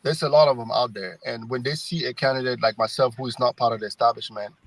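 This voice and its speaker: A man's voice: medium pitch, fast, dry recording, rough recording. Cameroonian guy, middle aged, political candidate chatting in an interview, dry close sound. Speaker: congressional candidate, guest. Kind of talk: conversational campaign interview.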